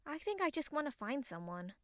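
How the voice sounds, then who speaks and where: A woman's voice: high-pitched, sing-song, a little fast, no echo. A woman voicing a smirking, foul-mouthed young succubus with a brash, teasing manner in an audiobook. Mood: candid.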